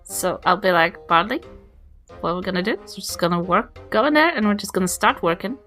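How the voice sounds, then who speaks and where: Woman playing a tabletop game, casual chat, bright mid-pitched voice, little bit of music behind. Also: animated, brisk. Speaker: podcast player in tabletop game. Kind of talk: casual role-play chat.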